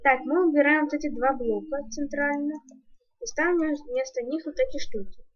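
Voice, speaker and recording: Casting: high-pitched female gaming YouTuber, casual step-by-step commentary, thinking aloud, dull lo-fi home recording. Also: normal speed. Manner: thinks aloud, counting and muttering steps. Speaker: YouTuber, gaming channel host. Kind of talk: casual game building tutorial commentary. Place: indoors at home, screen-recording voice-over.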